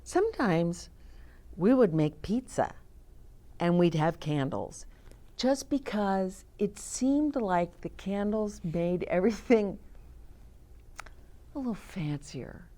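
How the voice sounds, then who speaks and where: An older woman reading aloud to children and adding chatty asides, gently explaining things to her young listeners. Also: mid-range voice, lively intonation, slow, long pauses.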